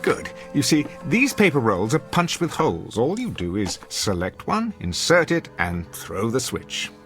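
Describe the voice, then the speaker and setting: A cruel, sarcastic man of wealth in classic radio drama style. His diction is formal and haughty, and his pitch leaps about dramatically. Music plays faintly behind him. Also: sing-song, a little slow, a bit bright. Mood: smug, mocking. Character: sneering, domineering wealthy husband and employer, cruelly sarcastic.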